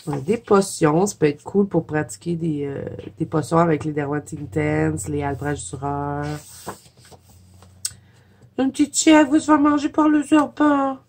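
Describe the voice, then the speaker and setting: A woman's voice: lowish voice, a little hiss or hum. Chatty Québécois woman with a cold. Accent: Québécois French. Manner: chatty with frequent "euh" fillers and soft giggles. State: stuffy from a cold. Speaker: coloring vlogger. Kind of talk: casual chat flipping through a coloring book.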